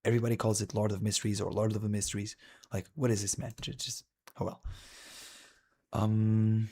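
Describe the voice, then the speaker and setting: Husky low-voiced male streamer. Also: level tone, brisk, dark tone. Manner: rambling and wry, with frequent "dude" and "man". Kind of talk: casual commentary while browsing an anime list.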